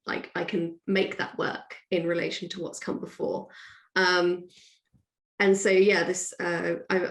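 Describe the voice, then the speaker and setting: A woman's voice: fairly low pitch, vocal fry. A woman author being interviewed, chatting casually and thinking aloud in a discursive way. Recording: roomy, echoey sound.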